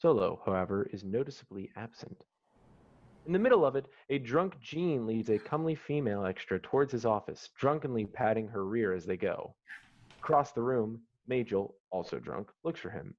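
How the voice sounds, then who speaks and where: A man's voice: fairly low pitch, lively intonation, moderate pace. A man reading stage directions and scene headings as the narrator of a screenplay. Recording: dull, slightly muffled online call audio.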